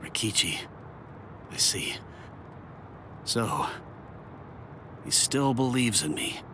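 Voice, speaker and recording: An actor voicing a stubborn, hot-blooded young swordsman in an anime game, with an intense, halting, dramatic delivery. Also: medium pitch, expressive, slight background noise. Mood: dazed, subdued. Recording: loud music behind.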